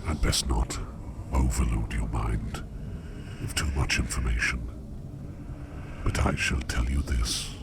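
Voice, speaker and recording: Man voicing a gentle dream demon, extremely low, slow formal phrasing, breathy ASMR whisper, curious, noisy background. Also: steady tone, full character voice. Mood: calm, mysterious. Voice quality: very deep, gravelly, breathy whisper. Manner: slow, measured, formal old-fashioned diction. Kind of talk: whispered ASMR roleplay monologue.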